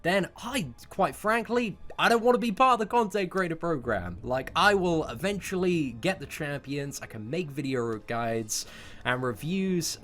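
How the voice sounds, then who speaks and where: A man's voice: medium pitch, a bit bright. A young British man in casual, slangy storytime mode, talking to his viewers as a gaming video host with emphatic, sometimes sweary phrasing. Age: young adult.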